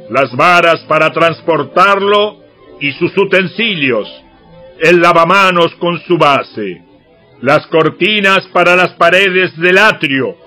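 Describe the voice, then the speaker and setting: A solemn prophet for an audio bible, really slow and forceful, kinda high voice, music behind. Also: male voice, exaggerated voice. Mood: solemn, instructive. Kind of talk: dramatized audiobook scripture acting.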